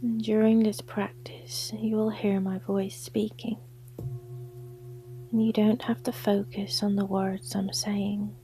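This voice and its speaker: Woman, yoga nidra guide, breathy near-whisper, unhurried, mellow, gongs and singing bowls humming behind. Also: medium pitch, flat delivery, slight background noise. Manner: soothing, hushed, gentle. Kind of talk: guided sleep meditation.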